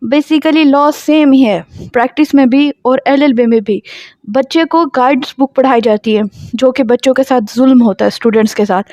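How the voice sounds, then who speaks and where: A woman's voice: sits high, darkish tone. A woman with a Pakistani accent, a law lecturer giving an explanatory introductory lecture to students, mixing in English terms. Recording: boxy echoey room, dull lo-fi sound.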